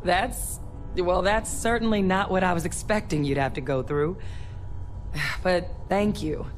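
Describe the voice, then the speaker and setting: Low-voiced, earnest woman scientist, played by an actress. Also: faint music behind, close to an everyday voice. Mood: grateful, concerned. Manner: measured, earnest, explanatory. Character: earnest female former military scientist, sci-fi researcher. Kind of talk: video game dialogue acting.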